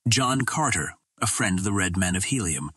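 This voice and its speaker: A man's voice: sits low, lively intonation, normal speed, a bit bright, slightly rough, dry recording, clean studio sound. A man reading a first-person adventure novel aloud as a scripted audiobook narration.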